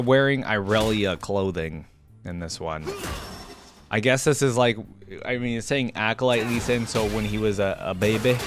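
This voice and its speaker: A man giving casual, informal reaction commentary, rambling along as he talks straight to his viewers. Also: medium pitch. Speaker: reaction video host.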